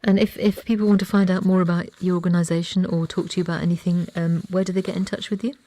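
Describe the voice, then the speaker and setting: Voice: mid-range voice, little pitch movement, fast talker, mellow. A radio interviewer talking informally, asking rambling questions and often restarting sentences. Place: radio studio.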